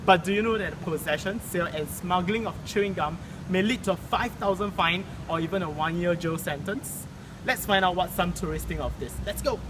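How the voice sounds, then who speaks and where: Higher-voiced young man, very noisy recording. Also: a little fast. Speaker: student video presenter. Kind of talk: scripted presenting to camera and narration. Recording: very noisy outdoor street ambience.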